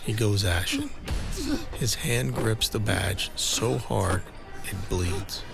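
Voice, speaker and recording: A man narrating a scripted audio drama in a deliberate, dramatic manner. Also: fairly low pitch, vocal fry. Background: dramatic music.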